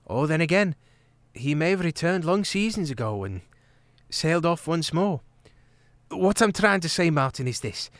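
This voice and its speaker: A man's voice: fairly high pitch, sing-song, normal speed, a bit bright, dry recording, voice pushed up. A curious, worried young hedgehog maid from a storybook, as a male reader puts her on. Her voice rides well above his own, light and questioning, recorded close and dry. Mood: earnest.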